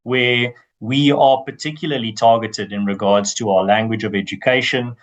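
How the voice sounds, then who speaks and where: A man's voice: medium pitch, fairly even intonation, normal speed, poor audio. A young man with a South African accent, a native Afrikaans speaker, arguing his case assertively as a podcast guest, often restarting phrases. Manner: assertive, argumentative, many restarts. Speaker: guest, advocacy group spokesman. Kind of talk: podcast interview.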